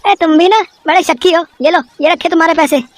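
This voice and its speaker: A woman voicing a naive, eager, excitable young male customer in a cartoon moral story, earnest and chatty. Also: very high-pitched, fast talker, no echo. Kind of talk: acted Hindi moral-story cartoon dialogue.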